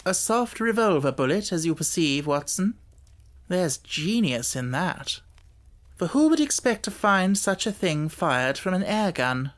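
A man's voice: high voice, big pitch swings, unhurried. A man voicing a keen, self-assured Victorian detective with an emphatic, lecturing manner in an audiobook. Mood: brisk, approving.

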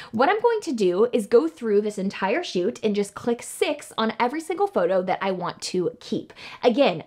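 A young woman talking as a photography educator, explaining her workflow in an upbeat, chatty way from a bright home studio. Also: mid-range voice. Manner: upbeat, chatty, fast with fillers like "like" and "um". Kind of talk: casual tutorial talk to camera.

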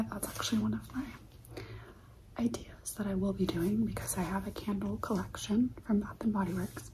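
A woman in her twenties whispering in a soft, breathy, unhurried ASMR style, close to the mic in a living room. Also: mid-range voice, low-quality recording. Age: 20s. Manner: soft, unhurried, repeats words slowly. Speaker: ASMR video creator.

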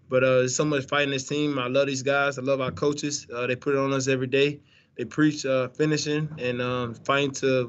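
A man's voice: mid-range voice, highs cut off. A young man, a college quarterback, answering post-game press questions in a laid-back way with lots of fillers and restarts, over a video call. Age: young adult. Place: indoor press room, branded backdrop. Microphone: video call, webcam.

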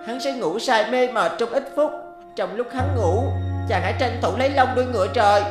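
Kindly bird spirit, echoing reverb, solemn and reassuring, music behind, cartoon. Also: female voice, a little high, fairly quick. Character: kindly magical female bird spirit guide. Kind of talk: fairy-tale cartoon acting.

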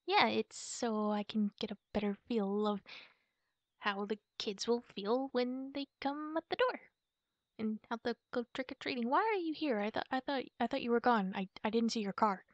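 A woman's voice: on the high side, normal speed, no echo, highs cut off, close to an everyday voice. A woman playing a playful, sneaky, easily flustered girlfriend in a silly ASMR roleplay, with an over-innocent manner. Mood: startled, flustered. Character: sneaky, flustered girlfriend who loves candy.

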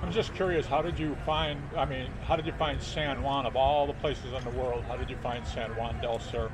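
An older man hosts a casual travel vlog and chats in a rambling, folksy manner. His voice sits in an ordinary middle range. Clear street noise surrounds him as he holds the camera close. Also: medium pitch, fairly even intonation, brisk. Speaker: vlog host, interviewer. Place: outdoors on a town street.

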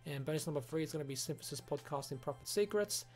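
Guy doing a casual software review, talks fast and rambles, bit of music behind. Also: fairly high pitch. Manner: rambling, peppered with "you know" and "like". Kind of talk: casual screen-recorded review. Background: background music.

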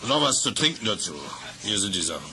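Matter-of-fact village innkeeper. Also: male voice, fairly low pitch, sing-song, quick pace, creaky. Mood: businesslike. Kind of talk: radio play acting for children. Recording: old audio drama with background noise.

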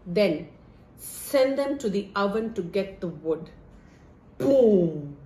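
A woman's voice: medium pitch, lively intonation, slow pace, warm tone. A woman playing a greedy, scheming middle-aged stepmother in an amateur comedy, theatrical and complaining. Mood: smug, scheming. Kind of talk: amateur comedy stage-style acting. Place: indoor home living room. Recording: echoey room with faint noise.

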